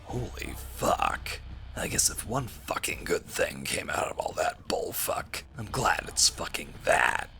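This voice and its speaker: A man voicing a gruff, foul-mouthed old soldier hero with a raspy, deadpan delivery in a parody game dub. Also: low-pitched, measured pace, whispered, soft background music, heavily acted. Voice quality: gravelly, raspy. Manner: deadpan, swearing.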